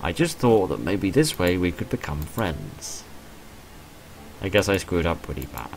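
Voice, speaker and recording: A man's voice: sits low, big pitch swings, unhurried, creaky, a little hiss or hum, exaggerated voice, poor audio. A man voicing a shy, apologetic young woman in a visual novel, with a hesitant, sheepish manner. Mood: sheepish, guilty. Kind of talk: visual novel character reading.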